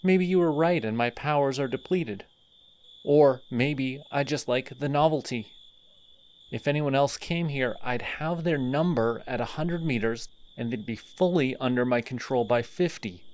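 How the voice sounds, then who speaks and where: Man voicing a cutting telepathic villain, slow unhurried delivery, mid-pitched, audio roleplay. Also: a little mellow, natural voice. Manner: mocking, slow and deliberate. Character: sardonic male telepathic villain, cocky then tender.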